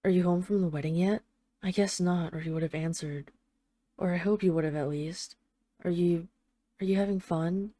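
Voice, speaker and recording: A woman's voice: fairly low pitch, fairly even intonation, mellow, no echo, close to an everyday voice. A woman playing a tough but tender, jealous mafia boss, speaking intimately to an absent partner in a scripted audio roleplay voicemail. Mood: uneasy, jealous. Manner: intimate, halting, sweary, addressing an absent lover.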